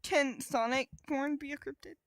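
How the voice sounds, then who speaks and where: High-voiced, cheeky woman podcaster. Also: fairly even intonation, moderate pace, no room sound, clean studio sound. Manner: silly, cheeky, giggly. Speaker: podcast co-host. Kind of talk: casual chat.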